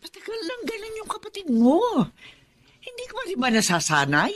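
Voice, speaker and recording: Worried Filipina mother, expressive pitch. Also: normal speed, full character voice. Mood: concerned, scolding. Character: worried, protective, gently scolding mother. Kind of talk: Filipino radio drama acting.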